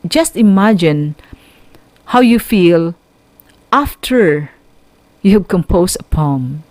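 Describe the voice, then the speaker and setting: A woman with a Filipino accent giving an instructional radio lesson in a deliberate, teacherly way. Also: on the low side, animated, a little mellow, dry recording.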